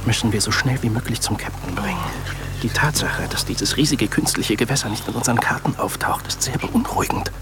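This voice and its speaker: An actor voices a shrewd Iroquois scout in a war radio play. He is calm and cryptic, choosing words deliberately, often in a hush, at middle pitch with loud music behind him. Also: natural voice. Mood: hushed, ominous. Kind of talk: radio drama acting.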